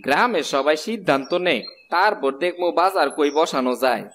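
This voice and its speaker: High-pitched gloomy villager. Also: male voice, lively intonation, a little fast, fairly bright tone, slight background noise. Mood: resigned, chiding. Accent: rural eastern Bengali. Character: gloomy village man, matter-of-fact bearer of bad news. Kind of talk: animated cartoon dialogue.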